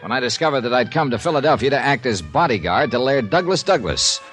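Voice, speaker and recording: An actor in a 1950s radio drama playing a brisk, wry freelance insurance investigator who also narrates the story. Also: male voice, medium pitch, lively intonation, over music, lightly acted. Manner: brisk, dry, wry first-person narration.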